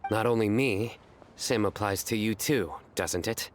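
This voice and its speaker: A man's voice: lowish voice, a little slow, slight background noise, close to an everyday voice. A man voicing a serious, proud young card-game devotee in a video game, grave and formal in his wording. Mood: stern. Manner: grave, formal, literal-minded.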